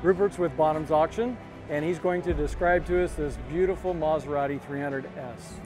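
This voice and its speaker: A man's voice: fairly high pitch, normal speed, music in the background, rough recording. A middle-aged man working as a show host, doing scripted introductions and interviews. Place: open tented car auction showroom. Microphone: lapel.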